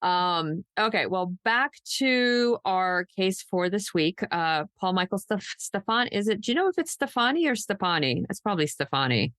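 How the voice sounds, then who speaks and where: A woman's voice: mid-range voice, lively intonation, normal speed, few pauses, a bit bright, no room sound, clean studio sound. A woman hosting a true crime podcast in a casual, loosely unscripted, conversational way. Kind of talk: casual co-hosted podcast chat.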